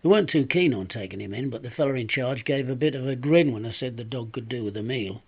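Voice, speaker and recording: An elderly man reciting a rhyming monologue as a humble, tender-hearted former down-and-out, plaintive and measured, with dramatic pauses. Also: medium pitch, breathy edge, muffled recording. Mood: tender, sorrowful. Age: 70s or older.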